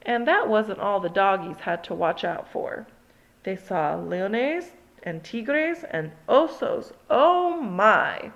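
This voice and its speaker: Woman reading a picture book aloud, sing-song, slow, dull lo-fi recording. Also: medium pitch, expressive, fairly bright tone. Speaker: storybook reader. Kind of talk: children's read-along narration.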